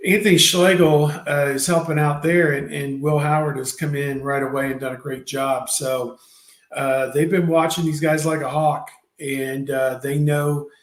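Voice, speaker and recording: A man in his fifties hosting a sports talk show, rambling, with many fillers and pauses. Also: a little high, flat delivery, echoey room. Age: 50s. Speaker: podcast host.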